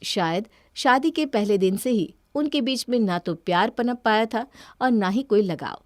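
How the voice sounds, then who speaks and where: A woman's voice: fairly high pitch, moderate pace, a little mellow, dry recording, clean studio sound. A woman reading scripted story narration.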